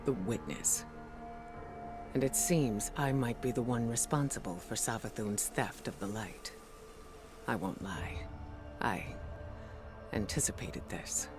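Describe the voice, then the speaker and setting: A stern mentor figure, measured and grave, game score behind. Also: male voice, on the low side. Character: stern commanding mentor and leader. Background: music.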